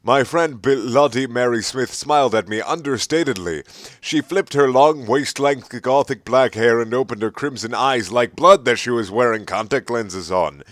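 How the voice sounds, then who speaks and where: A man reads fan fiction aloud in a theatrical, mocking way. His voice is mid-pitched and sounds dry, with no room around it. He comes across as exasperated with the material. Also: fairly bright tone, dry recording. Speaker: reader of a fan fiction story. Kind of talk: mocking dramatic reading of amateur fiction.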